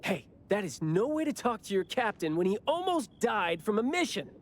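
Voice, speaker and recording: A woman's voice: mid-range voice, sing-song, moderate pace. A woman playing a cocky, whiny, dramatic young squad captain in an anime video game.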